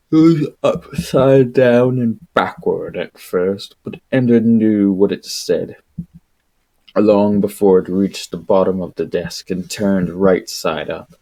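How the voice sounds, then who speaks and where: A man streaming solo, reading aloud and chatting casually in an unhurried, rambling, wry manner. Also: deep voice, warm tone. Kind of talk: audiobook-style reading and casual livestream chat.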